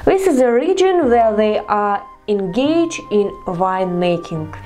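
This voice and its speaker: A young woman with a Russian accent reads her vlog narration in English slowly and deliberately. Her voice sits fairly high and mellow, and it moves up and down a lot in pitch. Faint music plays under her. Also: big pitch swings. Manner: careful, deliberate non-native English. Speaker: vlogger. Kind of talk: scripted narration to camera.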